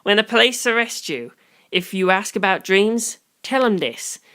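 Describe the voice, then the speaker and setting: A reader voicing an excitable, blunt male investigator who warns a friend in emphatic, profane slang. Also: medium pitch, moderate pace, clean tone, voice pushed up. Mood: urgent.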